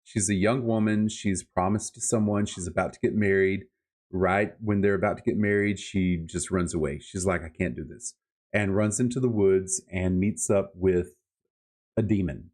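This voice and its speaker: A man's voice: sits low, moderate pace, a little mellow. A man chatting casually and emphatically about books as a podcast host, with enthusiastic, colloquial delivery. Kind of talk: casual book-review chat.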